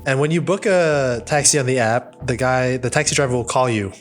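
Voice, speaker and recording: A man in his thirties hosting a casual solo podcast, telling a rambling story and laughing along, on a studio boom mic. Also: a little fast, faint music behind. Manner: animated, rambling, laughs at his own stories. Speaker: podcast host. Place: home studio with wooden wall. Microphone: studio mic on boom arm, headphones.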